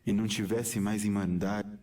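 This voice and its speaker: A man's voice: lowish voice, fairly even intonation, normal speed, darkish tone, natural voice. A man reciting a poetic monologue as a lucid, disillusioned man in an audio drama, solemn and declamatory. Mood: solemn, resigned.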